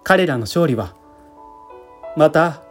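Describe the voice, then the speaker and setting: A man's voice: fairly high pitch, animated, takes it slowly. Man narrating literary fiction over music. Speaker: amateur theatre troupe leader reading aloud. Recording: music bed behind the voice.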